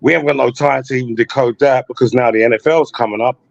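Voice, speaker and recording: A man on an online call, his voice a bit muffled and lo-fi but close and dry, with no room around it. He wanders from point to point, often circling back with "you know what I'm saying." His pitch sits in an ordinary middle range. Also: steady tone, fast, gravelly, no echo. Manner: loose, repeats "you know what I mean". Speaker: guest on a call-in talk show. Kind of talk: rambling casual conversation.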